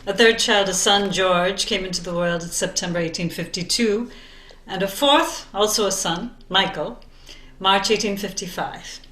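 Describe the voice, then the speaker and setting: A woman narrator in a very roomy, echoing recording. She delivers scripted biographical drama with deliberate, expressive storytelling and theatrical emphasis on key phrases. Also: mid-range voice.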